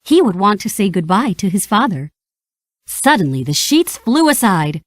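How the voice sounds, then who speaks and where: A woman narrates a children's read-along storybook with expressive energy. Her voice moves up and down freely through each sentence. The recording is dry and close, with no room around it. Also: mid-range voice, moderate pace, no echo. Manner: animated, expressive.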